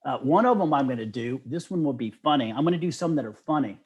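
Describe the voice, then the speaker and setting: A middle-aged man telling stories casually as an interview guest, with an animated, enthusiastic manner. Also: mid-range voice, fast talker.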